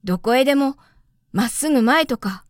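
A woman's voice: high voice, lively intonation, moderate pace, no echo, clean studio sound. A woman voicing a stranded male pilot in a storybook, earnest, easily irked and plain-spoken. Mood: helpful.

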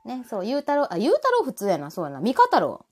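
A woman's voice: fairly high pitch, huge pitch swings, quick pace, darkish tone, soft background music. A woman with a Kansai accent hosting a live audio show in casual, playful chat. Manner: playful banter with co-host and listeners.

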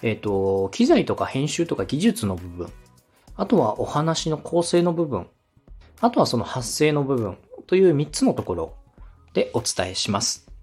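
A man hosting a podcast, politely and methodically giving encouraging production advice in a slightly husky voice. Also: mid-range voice, very animated, a little slow, darkish tone, soft background music. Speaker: podcast host and radio director giving feedback.

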